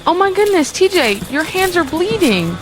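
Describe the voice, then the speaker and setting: Lilting, fawning young admirer. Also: female voice, extremely high pitch, very animated, moderate pace. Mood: gushing. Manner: gushing, coy. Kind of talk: audio drama acting. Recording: music behind. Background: music.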